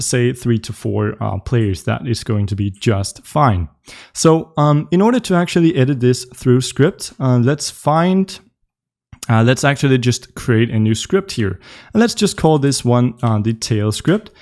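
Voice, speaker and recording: A man's voice: mid-range voice, a little mellow. A young man with a Danish accent hosting a live coding tutorial, explaining casually with frequent ums and uhs as he works. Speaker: tutorial host.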